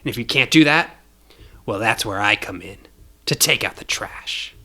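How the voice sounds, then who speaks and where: A man's voice: on the low side, lively intonation, normal speed, sharp tone. A man voicing a smug, cruel male bounty hunter in an audio story, taunting and sneering. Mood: contemptuous. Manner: taunting, sneering, drawling for effect.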